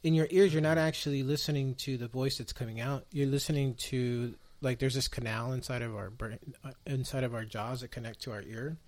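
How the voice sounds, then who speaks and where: A man's voice: medium pitch, fairly even intonation, a little fast, few pauses, a little mellow. A man hosting a podcast in casual chat, explaining things with dry, self-deprecating humor. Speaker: podcast host.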